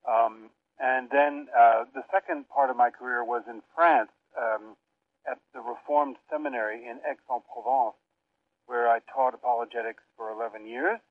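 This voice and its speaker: A man's voice: mid-range voice, normal speed, no room sound. An older man who grew up in Europe, a seminary professor, answering interview questions thoughtfully with many hesitations. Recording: tinny phone line with faint hiss.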